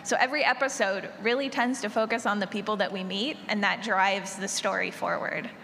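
A woman's voice: medium pitch, steady tone, brisk, creaky, sharp tone. A woman speaking off the cuff on a panel as an audio drama creator. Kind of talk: unscripted panel discussion. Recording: echoey hall with faint noise.

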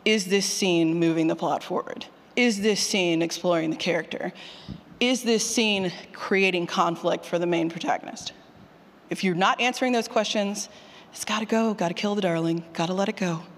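A woman speaks to a conference audience in a room with long, ringing reverb. Her delivery is informal and animated, with punchy emphasis and slangy phrasing. She sounds like a workshop leader who likes to put questions straight to the room. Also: on the low side, normal speed. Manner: animated, colloquial, emphatic, mildly sweary, puts questions to the room. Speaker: speaker leading a workshop on audio drama writing.

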